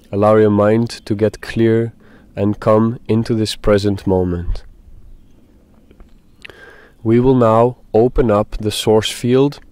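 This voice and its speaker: A man leading a guided meditation, speaking in a deliberate, halting way with pauses. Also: lowish voice, warm tone, clean tone, no room sound.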